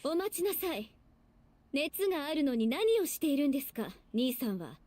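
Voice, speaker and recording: Strict younger sister for a visual novel: high, very fast, animated scolding. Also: female voice, a little hiss or hum. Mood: stern. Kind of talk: Japanese visual novel voice acting.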